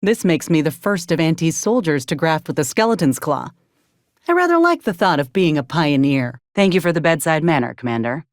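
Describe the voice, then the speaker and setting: A woman's voice: deep voice, big pitch swings, a little fast, no echo. A woman voicing a tough, dry-witted soldier companion in a video game, blunt and wry with folksy phrasing. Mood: resolute, then deadpan.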